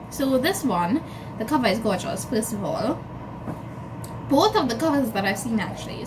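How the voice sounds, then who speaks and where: A woman chatting casually and enthusiastically to camera as a book vlogger. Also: a little high. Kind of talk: casual chat to camera about books. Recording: clearly noisy background ambience.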